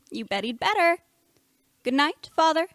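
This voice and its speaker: A woman's voice: sits high, animated, unhurried, no echo. A teenage girl playing a playful, affectionate young woman, a scientist's daughter, in an amateur radio play.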